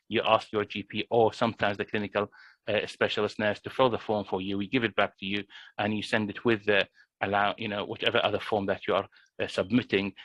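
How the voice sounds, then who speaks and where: A man's voice: on the low side, fairly bright tone, no echo, low-quality recording. A man, a GP, explaining a benefits form in an online workshop, with many fillers and restarts. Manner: hesitant, frequent restarts and fillers. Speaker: GP presenter. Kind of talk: explanatory presentation.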